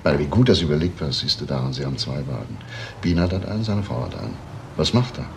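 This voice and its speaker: Male detective, very deep creaky voice, shrewd, probing, conversational, crime drama, faint background noise. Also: expressive, fast, heavily acted. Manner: conversational, probing, tag questions. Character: shrewd, unhurried male police inspector, probing. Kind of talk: German crime drama acting.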